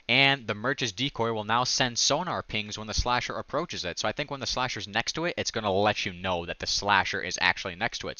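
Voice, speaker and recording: A man's voice: lowish voice, bright, forceful tone, no echo. A man giving a casual, enthusiastic walkthrough of a game update, like a gaming video creator.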